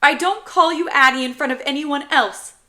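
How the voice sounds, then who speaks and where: Woman as ten-year-old boy, high bright voice, stubborn, protesting, upset, audiobook character. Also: expressive, a little slow, full character voice. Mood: tearful, pleading. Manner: protesting, pestering, emotional.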